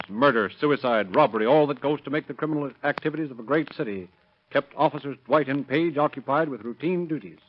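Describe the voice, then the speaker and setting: An actor playing a grave, portentous male narrator of a 1930s radio crime drama, solemn and measured. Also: medium pitch, natural voice. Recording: dull lo-fi old radio recording.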